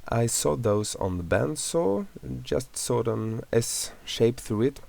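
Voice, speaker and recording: A Swedish man, a hobby woodworker, narrating his build in a voice-over, unhurried with small fillers. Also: mid-range voice, lively intonation, creaky, a little mellow. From Sweden.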